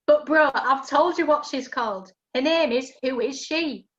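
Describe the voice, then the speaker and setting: A woman's voice: high-pitched, normal speed, close to an everyday voice. A woman playing a chatty, good-natured young laundry lad who narrates a rhyming pantomime, on a lo-fi recording. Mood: matter-of-fact. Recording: dull lo-fi sound.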